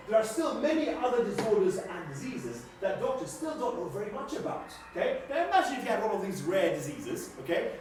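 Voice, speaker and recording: A man's voice: high-pitched, darkish tone. A man playing a kindly, flustered scientist doctor who explains things emphatically and repetitively, with stammers, in a live children's puppet show. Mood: earnest explaining. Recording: echoey room, distant mic, faint noise.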